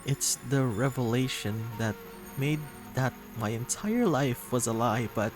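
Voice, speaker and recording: Man voicing young male dating-game character, slow halting pace, anguished, earnest, tender, medium pitch, clear music behind. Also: expressive, lightly acted. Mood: resolute, earnest. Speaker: voice actor reading a visual novel. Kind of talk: emotional dramatic game dialogue reading. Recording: music playing under the voice.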